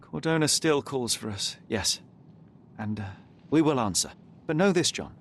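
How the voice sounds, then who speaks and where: An actor playing a young, principled and stubborn detective in a video game, speaking in a measured, formal way. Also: male voice, medium pitch, lively intonation, slight background noise, lightly acted. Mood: resolute. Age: young adult. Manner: measured, earnest, formal speech.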